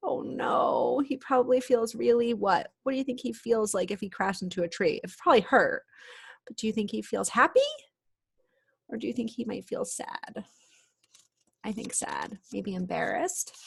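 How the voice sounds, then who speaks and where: A woman reading a storybook aloud expressively for children, pausing for chatty explanations and questions to her young listeners. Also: on the high side, gravelly, slightly rough.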